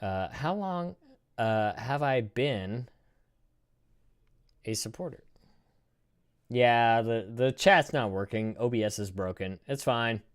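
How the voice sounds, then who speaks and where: A man in his thirties hosting a casual live stream, reacting in a rambling, profane, animated way. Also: medium pitch, takes it slowly, pauses often, smooth. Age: 30s.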